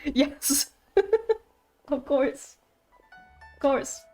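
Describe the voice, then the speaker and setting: High-voiced woman game streamer, playful. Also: flat delivery, very slow, darkish tone. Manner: playful, joking asides. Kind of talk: casual playthrough commentary, reading game text aloud. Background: game music.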